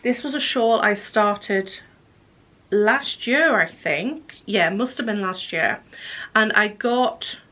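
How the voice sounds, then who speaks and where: Slightly hoarse woman, chatty podcast host. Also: medium pitch, slow, pushed and bright. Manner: chatty, rambling, drops to a whisper at times. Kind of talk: casual chat. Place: home craft room.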